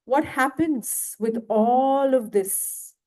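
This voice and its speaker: Slow, soft-toned woman lecturing. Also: high-pitched. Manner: slow, asks the audience questions. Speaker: guest lecturer. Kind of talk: devotional lecture. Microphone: handheld mic, failing at times.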